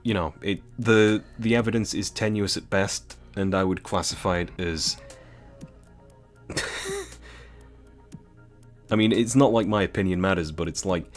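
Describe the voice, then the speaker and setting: British guy reading aloud with chatty asides, very low voice, faint music. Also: unhurried, long pauses. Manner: chatty, swearing, laughs at own jokes. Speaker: streamer reading a game novel. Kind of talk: reading aloud with casual commentary.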